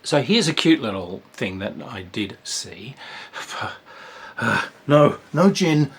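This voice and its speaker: A man giving a casual, rambling review of a tabletop game, with a wry, dark humour and plenty of fillers and restarts. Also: medium pitch, very animated, slow, husky.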